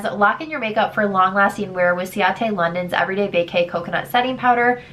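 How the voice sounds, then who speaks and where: A woman's voice: mid-range voice, fairly bright tone. Congested young female beauty vlogger, chatty and candid, faint background hiss. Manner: chatty, candid, reads product blurbs aloud. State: congested, sick. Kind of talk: casual product try-on chat.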